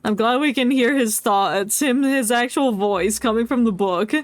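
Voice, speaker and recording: A woman's voice: a little high, moderate pace, no room sound. A woman streaming a game with casual, joking live commentary and playful reactions. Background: faint game music.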